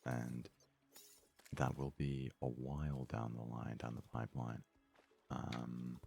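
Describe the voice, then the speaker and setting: Very deep, gravelly man doing soft ASMR. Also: completely flat delivery, soft background music. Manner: soft-spoken, low-key, unhurried musing. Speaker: gaming video host. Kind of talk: ASMR let's play commentary.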